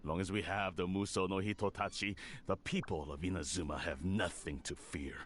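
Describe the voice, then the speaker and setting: Actor as a haughty scheming clan lord in a game, low and condescending. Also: male voice, fairly even intonation, moderate pace, close to an everyday voice. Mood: scornful. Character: scheming older male clan head, smug. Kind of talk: video game voice acting.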